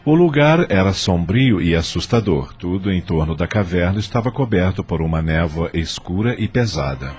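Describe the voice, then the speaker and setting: A deep-voiced man narrates an audio drama in a Brazilian accent. His delivery is scripted storytelling in the third person. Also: animated, moderate pace, few pauses, darkish tone, breathy edge, soft background music.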